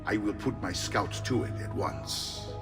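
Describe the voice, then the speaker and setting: An actor voicing a gruff, blunt middle-aged mercenary uncle in a video game, speaking. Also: male voice, lowish voice, measured pace, long reverb tail, music in the background, close to an everyday voice. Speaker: hero's uncle, mercenary leader.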